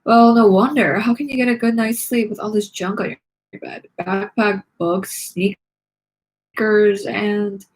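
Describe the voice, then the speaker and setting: A woman's voice: mid-range voice, steady tone, takes it slowly, low-quality recording. A woman voicing a doting, fussing grandmother who coaxes and chides fondly in a children's book read-aloud. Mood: fondly chiding.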